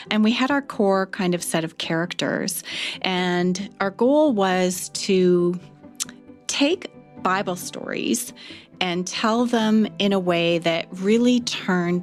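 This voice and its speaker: Lower-voiced earnest woman over soft music. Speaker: audio drama writer. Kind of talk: interview, explaining creative process. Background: faint music.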